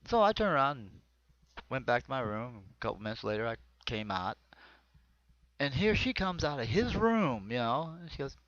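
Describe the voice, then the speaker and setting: A man's voice: mid-range voice, very animated, moderate pace, a bit bright, dry recording. An American man performs an autobiographical comedy monologue in a rambling, conversational storytelling manner. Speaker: amateur comedian. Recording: dull, lo-fi close dry recording.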